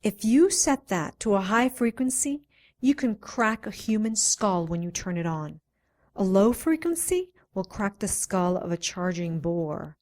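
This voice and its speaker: Self-assured female doctor of magic woman acting for radio drama; mid-pitched, playful, coaxing, persuasive. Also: very animated, measured pace, a little mellow. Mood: explaining, proud. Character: confident, teasing female doctor of magic and science.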